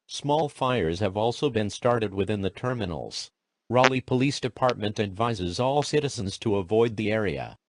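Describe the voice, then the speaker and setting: A man's voice: lowish voice, animated, moderate pace, background noise. A man reading scripted emergency alerts as an announcer, in a formal, impersonal, robotic way.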